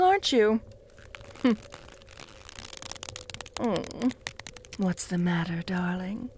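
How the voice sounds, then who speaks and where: A woman voicing a cruel, jealous female vampire who taunts the listener in a slow, purring voice that often drops to a whisper. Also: a little high, slight background noise. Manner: taunting, with soft mocking laughs. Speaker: voice actor in audio roleplay. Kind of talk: audio roleplay addressed to the listener.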